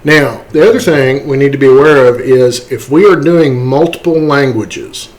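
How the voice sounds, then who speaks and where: A man with a mid-pitched voice walks through software as a tutorial instructor. His delivery is casual and explanatory, going step by step. He often pauses to check in with the learner. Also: low-quality recording.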